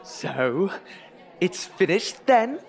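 A theatrical, exasperated Tudor courtier. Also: male voice, sits high, big pitch swings, gravelly, exaggerated voice. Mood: impatient. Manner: theatrical, exasperated, drawn-out emphasis. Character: anxious, exasperated Tudor court song lyricist, male. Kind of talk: comic audio drama acting.

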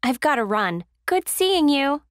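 A high, lilting friendly woman. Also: big pitch swings, a little slow, dry recording, studio quality. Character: friendly complimentary woman making small talk. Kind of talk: scripted English listening-exercise dialogue.

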